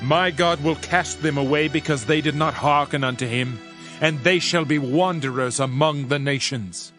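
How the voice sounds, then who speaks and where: A man's voice: fairly high pitch, normal speed, fairly bright tone, natural voice. Man acting a solemn Old Testament prophet over music. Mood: wrathful. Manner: solemn, declamatory. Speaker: scripture narrator. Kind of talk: dramatized Bible reading. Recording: music under the voice.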